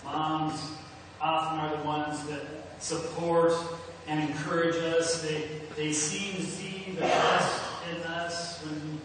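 A middle-aged man, a pastor, preaching a sermon in a measured, deliberate way with pauses. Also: fairly high pitch, little pitch movement. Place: indoors at a church pulpit. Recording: echoey hall, distant mic, noisy background.

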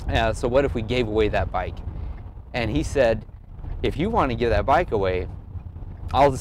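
A man talking casually in an earnest, halting way, thinking aloud as he tells a personal story in an interview. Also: mid-range voice, a little hiss or hum, low-quality recording. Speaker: amateur cyclist and blogger being interviewed.

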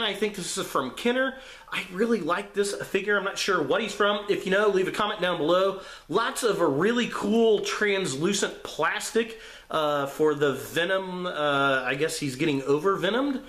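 A man with a fairly high voice gives a casual, chatty show-and-tell. He is enthusiastic and doubles up words for emphasis. The sound is roomy and echoing, like a camera mic in a small room. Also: a bit bright. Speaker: toy collector and video reviewer. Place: small room indoors, tabletop display.

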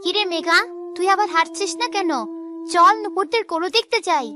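High childish friendly playmate. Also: female voice, moderate pace, over music. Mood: curious, friendly. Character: friendly, curious young village girl, kind playmate. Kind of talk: cartoon voice acting.

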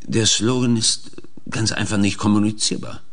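Bossy business lobbyist, really deep voice, curt and condescending, radio drama. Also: male voice, fairly even intonation, moderate pace, fairly bright tone. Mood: dismissive. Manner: brusque, condescending. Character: curt, domineering male chemical industry lobbyist. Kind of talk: radio play acting.

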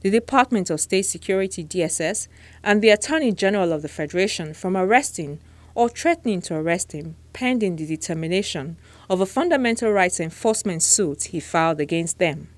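A woman's voice: lowish voice, animated, fairly quick, no room sound. A woman in her thirties with a Nigerian accent, presenting and interviewing for a television news programme. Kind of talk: scripted news narration and interview.

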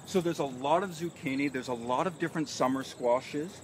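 A man's voice: a little high, fairly quick. Man giving a farm tour outdoors. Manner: enthusiastic, explanatory, walking and pointing at plants. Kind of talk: live guided tour. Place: outdoor vegetable garden in a park. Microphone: phone camera a few feet away. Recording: outdoor phone recording with noise.

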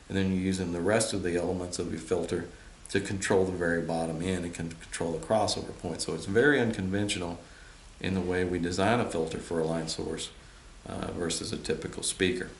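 A man in his fifties giving an informal technical explanation to camera in a measured, stop-and-start way, recorded indoors a few feet from the camera. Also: low voice, steady tone. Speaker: host and loudspeaker designer explaining. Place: indoor listening room with large speakers. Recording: roomy echo, audible hiss.

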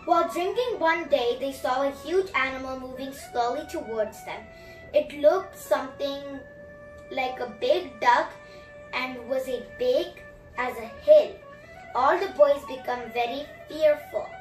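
A young girl reading a storybook aloud and retelling it in chatty, animated asides with emphatic repetition. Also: high-pitched, measured pace. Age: child, about 7 to 10. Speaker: story reader, host of a children's story channel. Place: small room at home, indoors. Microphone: camera a few feet away. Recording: roomy, distant mic, music behind.

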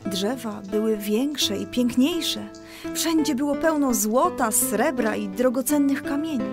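A gentle mother acted by a woman in a children's radio play. She explains a Bible story patiently in a fairly high voice, with faint music underneath. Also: lively intonation, measured pace.

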